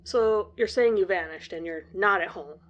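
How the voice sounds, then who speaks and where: A woman's voice: medium pitch, moderate pace, dull audio, slight background noise, close to an everyday voice. A woman voicing a confused, slightly annoyed young boyfriend who speaks hesitantly. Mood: puzzled.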